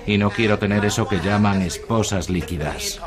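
A man's voice: fairly low pitch, moderate pace, lightly acted. An actor with a Castilian Spanish accent dubbing an earnest, insistent recovering addict in his late forties. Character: earnest recovering male addict of forty-nine. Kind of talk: dubbed documentary conversation. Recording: busy room chatter behind.

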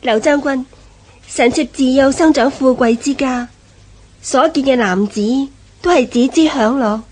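An actress playing a submissive, flattering captive empress in a historical radio drama, coy and formal. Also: female voice, high voice, a little slow. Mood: ingratiating.

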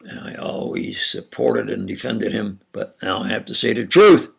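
An older man with an Upstate New York and New Jersey accent, giving a halting, insistent monologue lecture with sudden loud emphasis. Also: fairly low pitch, expressive, creaky, husky. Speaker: self-styled preacher lecturing on camera.

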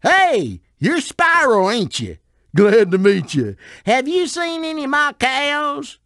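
A man's voice: very high voice, huge pitch swings, slow pace, a bit bright, no room sound, clean studio sound, heavily acted. A man voicing a simple, good-natured video game farmer with a folksy rural American accent. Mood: folksy, puzzled. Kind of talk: video game character dialogue.

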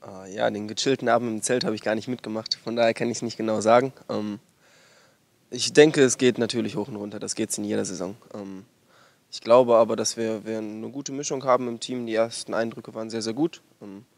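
A young man, an athlete, answering in an informal interview with hesitant pauses, close on a handheld mic. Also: on the low side, steady tone, vocal fry, no echo. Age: young adult. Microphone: handheld interview microphone.

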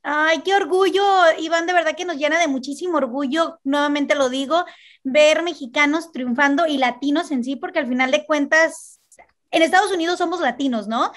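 A young adult woman interviewing a guest over a video call from home, warm and enthusiastic. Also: high voice, normal speed. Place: home room.

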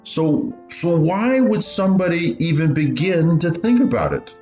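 A man playing an animated, pained old survivor. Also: fairly high pitch, unhurried. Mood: questioning, sceptical. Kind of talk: student radio play acting. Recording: dull, roomy recording with music.

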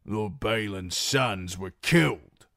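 A man reading an audiobook voices a rough, frightened male guard who shouts threats. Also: lowish voice, animated, slow, no room sound, studio quality. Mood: wary.

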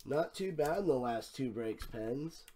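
A hoarse man, losing his voice and clearing his throat, hosting a card break and calling out cards one by one. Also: medium pitch, animated, mellow, a little hiss or hum. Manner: slow, clipped call-outs of cards and prices. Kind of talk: live card break commentary. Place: room with a desk and a banner behind.

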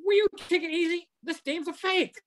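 A man's voice: very high-pitched, normal speed, fairly bright tone, a little hiss or hum. An older man playing a slangy, exclamatory wisecracking fellow in an amateur old-time radio play. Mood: exasperated. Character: exasperated wisecracking working man caught in hoax. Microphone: computer on video call.

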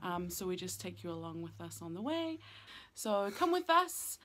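A woman's voice: fairly low pitch, sing-song, moderate pace, very noisy. A young woman, chatting playfully and giggling as she vlogs into a phone from a car. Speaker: vlogger. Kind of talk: casual vlog chat. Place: inside a car.

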